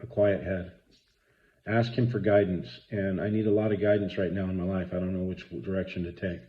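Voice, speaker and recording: A man's voice: fairly low pitch, highs cut off. A man, talking earnestly and rambling with many fillers in a casual spoken reflection. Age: 50s. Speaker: vlogger.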